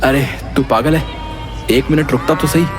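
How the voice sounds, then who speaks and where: A man voicing a bold, curious fisherman's son in an animated story, insistent and coaxing. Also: mid-range voice, normal speed, over music, a crowd in the background, natural voice. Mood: exasperated.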